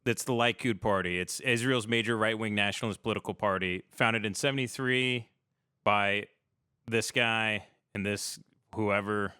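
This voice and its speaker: A man's voice: on the low side, steady tone, normal speed, pushed and bright, clean tone, no room sound, clean studio sound. A man chatting casually on a livestream talk show, speaking informally with slang and swearing.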